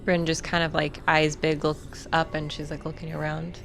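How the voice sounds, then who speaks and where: A woman's voice: sits low, level tone, moderate pace, vocal fry, a bit bright, faint music behind. A woman playing a tabletop roleplaying game, describing her character's actions in casual, informal chat. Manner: informal, peppered with "like" and "kinda".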